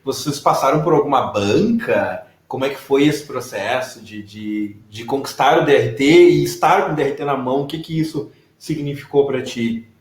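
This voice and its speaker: A man hosting a live interview, lively and encouraging. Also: mid-range voice, normal speed, few pauses. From southern Brazil. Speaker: host. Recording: echoey room.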